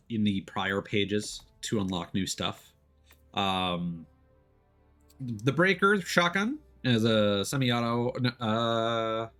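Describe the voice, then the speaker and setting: A man chatting casually as he plays a video game on stream, often pausing and restarting mid-thought. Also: lowish voice, a bit bright. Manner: chatty, hesitant mid-thought, playful exclamations. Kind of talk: casual gameplay commentary and chat. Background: faint game music.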